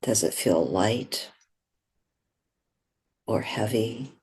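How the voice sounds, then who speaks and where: A meditation guide speaking in a gentle, soothing, unhurried way, giving slow instructions with long pauses. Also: medium pitch, expressive, husky.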